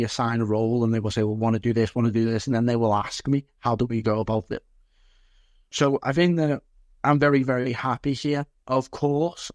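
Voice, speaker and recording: A man's voice: mid-range voice, no echo, studio quality. A man from Liverpool speaking as an interview guest and football coach, reflective and earnest, repeating phrases for emphasis. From Liverpool, England.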